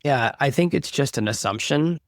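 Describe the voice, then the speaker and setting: A man's voice: mid-range voice, expressive, moderate pace, no room sound, clean studio sound. A man in his forties hosting a podcast, chatting casually and making self-deprecating jokes. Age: 40s. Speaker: podcast host. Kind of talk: casual interview chat.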